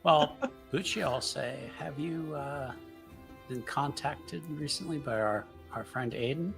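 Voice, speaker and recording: A man playing in a tabletop roleplaying game, casual and halting, repeating himself. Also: on the high side, gravelly, soft background music.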